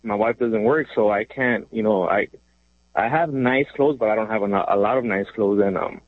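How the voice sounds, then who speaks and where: A man's voice: fairly low pitch, moderate pace, no room sound. A man from Mexico in his thirties calling a radio show from his car, talking casually and hesitantly with lots of ums. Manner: rambling, hesitant, lots of ums, laughs easily. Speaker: caller. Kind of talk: casual call-in chat. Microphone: phone. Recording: tinny phone line from a car.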